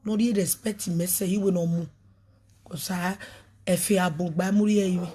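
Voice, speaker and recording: Husky woman preaching. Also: fairly low pitch, steady tone, normal speed, warm tone. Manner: mixes pidgin, English and a local language, preachy and emphatic. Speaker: ministry leader speaking on a live video. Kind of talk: animated livestream talk.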